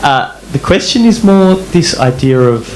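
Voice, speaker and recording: A man from the audience, an academic, asking a long, winding question that grows animated. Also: fairly high pitch, measured pace, echoey room, low-quality recording. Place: club event room.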